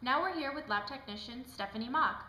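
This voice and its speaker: A woman's voice: high-pitched, a little fast, pushed and bright. A woman playing a cheerful, polite talk show host in an amateur scripted puppet skit. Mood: welcoming. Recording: echoey room, faint background noise.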